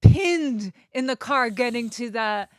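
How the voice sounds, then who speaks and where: A woman's voice: on the high side, lively intonation, takes it slowly, no echo, studio quality. A woman, co-hosting a podcast in chatty, casual conversation and laughing easily. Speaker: podcast co-host. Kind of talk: casual chat.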